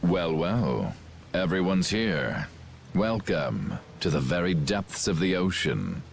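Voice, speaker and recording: A deep, pompous villain commander. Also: male voice, very animated, takes it slowly, creaky, faint music behind, full character voice. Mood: smug, mocking. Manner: pompous, formal, theatrical. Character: grandiose male villain commander, cold would-be ruler. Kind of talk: video game cutscene acting.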